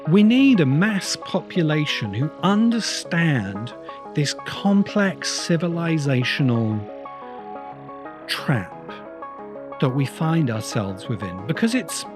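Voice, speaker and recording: Deliberate man musing over background music. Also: a little high, lively intonation. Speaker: host of a science fiction video essay podcast. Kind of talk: reflective spoken essay, semi-improvised monologue.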